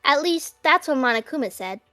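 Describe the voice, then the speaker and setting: A woman's voice: sits high, animated, fairly quick, sharp tone, no echo, soft background music. A woman in an amateur anime fan dub playing an earnest, chatty teenage girl. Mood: earnest, puzzled.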